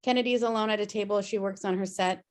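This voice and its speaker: Woman narrating a script, medium pitch. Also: steady tone, very fast. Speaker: narrator reading stage directions. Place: remote video call table read. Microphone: video call.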